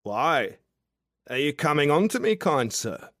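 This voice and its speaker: A man's voice: on the high side, sing-song, measured pace, a bit bright, dry recording, clean studio sound, voice pushed up. A man doing a brash, tipsy Aussie bloke. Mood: cocky. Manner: brash, teasing, sing-song. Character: cocky, tipsy young Aussie man from the outback.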